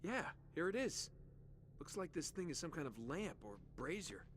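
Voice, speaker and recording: An actor playing an adventurous, quick-witted male treasure hunter in a cinematic video game, heard quietly under music. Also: on the high side, sing-song, slight background noise. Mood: curious.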